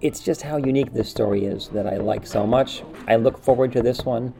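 A man's voice: medium pitch, a little fast, gravelly, mellow. A man co-hosting a podcast in casual, enthusiastic chat, often repeating his phrases. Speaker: podcast co-host.

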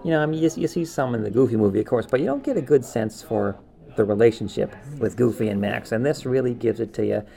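Male co-host for casual talk show. Mellow tone with some fry, enthusiastic, emphatic. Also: mid-range voice, lively intonation, quick pace, soft background music. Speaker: podcast co-host. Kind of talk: casual chat ranking favorite cartoon specials.

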